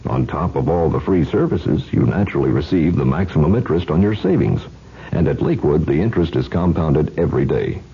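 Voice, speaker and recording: A man with a gravelly, raspy voice reading a scripted radio advertisement as an announcer. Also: a bass voice, animated, a little fast, slight background noise. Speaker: bank commercial announcer. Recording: muffled 1970s radio recording.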